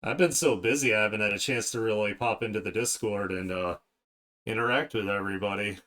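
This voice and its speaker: A man with a fairly low voice hosts a casual art stream. He rambles conversationally to his viewers, talking as he works. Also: brisk, pushed and bright. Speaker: art streamer hosting a livestream. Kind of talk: casual livestream chat while drawing.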